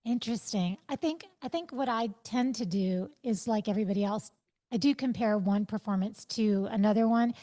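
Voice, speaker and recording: High-voiced woman in her fifties. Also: moderate pace. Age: 50s. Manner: reflective, animated, stresses quoted thoughts. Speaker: interview guest. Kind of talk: conversational podcast interview.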